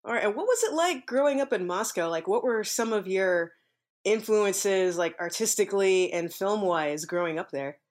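A woman's voice: mid-range voice, expressive, normal speed. A woman hosting an interview podcast, reading a friendly intro and then chatting with her guest. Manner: upbeat, friendly scripted intro. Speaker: podcast host.

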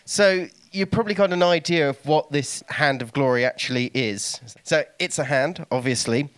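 A man's voice: on the high side, moderate pace, fairly bright tone, clear, resonant tone. A man speaking as a guest, giving an informative, explanatory talk. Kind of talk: informative talk with dramatic readings.

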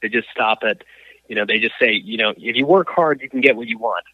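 A man, a coach and athlete, telling his story as an interview guest, halting with frequent restarts and fillers. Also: on the low side. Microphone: phone or call line. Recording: tinny phone line.

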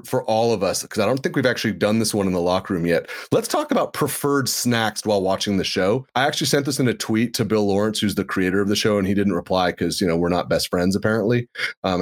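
A man hosting a podcast, chatting enthusiastically and playfully, with rambling asides. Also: fairly low pitch. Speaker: podcast host. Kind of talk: casual chat.

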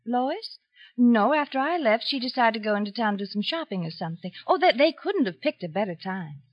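An actress playing a genteel, guarded small-town heiress in a 1950s radio drama, speaking in a hesitant, confiding way. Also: female voice, fairly high pitch, expressive, a little fast. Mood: airy, explaining. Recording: dull old radio recording.

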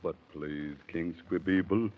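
An actor playing a kindly old captive who pleads earnestly in rhyme, in a 1930s radio play. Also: male voice, sits low, measured pace. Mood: pleading, sorrowful. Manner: earnest, beseeching rhymed delivery. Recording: thin, narrow-band 1930s radio recording.

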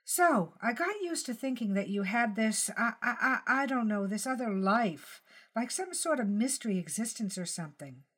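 A woman's voice: on the high side, lively intonation, moderate pace, a bit bright, slightly rough. A woman voicing an anxious, wistful elderly wife in an audiobook story, speaking hesitantly and earnestly. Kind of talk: audiobook character dialogue.

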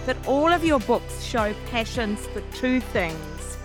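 A woman's voice: on the high side, sing-song, slow, music in the background. A woman hosting a podcast, putting questions to a guest in a conversational interview.

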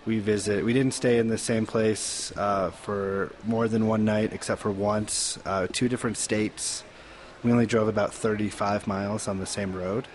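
A man appearing as a guest on a podcast, talking in a relaxed, wry and rambling way. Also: on the low side, moderate pace, slight background noise. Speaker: festival organizer, guest. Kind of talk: casual podcast chat.

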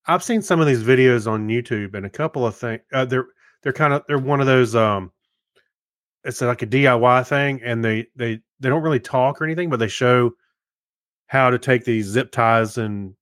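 A man co-hosting a podcast in casual chat, speaking informally and haltingly as he corrects himself. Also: mid-range voice, fairly bright tone, no room sound, studio quality. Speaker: podcast co-host.

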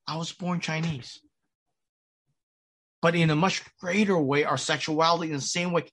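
A pastor delivering a sermon with an American accent, measured and pausing, with frequent restarts. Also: male voice, fairly high pitch, a bit bright. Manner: measured preaching with pauses and restarts.

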